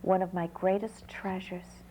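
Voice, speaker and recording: A woman's voice: mid-range voice, level tone, measured pace, mellow, a little hiss or hum, natural voice, rough recording. A woman voicing a gracious, generous dragon princess in a folk tale.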